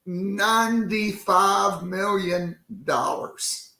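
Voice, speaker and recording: A man hosting a sports podcast, talking casually and jokingly with a worn-out, hoarse voice. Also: high-pitched, steady tone, bright, forceful tone, echoey room. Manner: loose and joking, slow drawn-out delivery. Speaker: podcast host.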